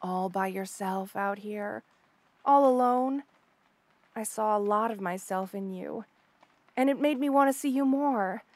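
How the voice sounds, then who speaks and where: A woman's voice: mid-range voice, a little slow, darkish tone, dry recording, natural voice. A woman voicing an obsessive, lovestruck rattlesnake lamia in an intimate audio roleplay, gushing and hesitant with coy stammers. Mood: shyly obsessive. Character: obsessive lovestruck female rattlesnake lamia, shy yet unsettling.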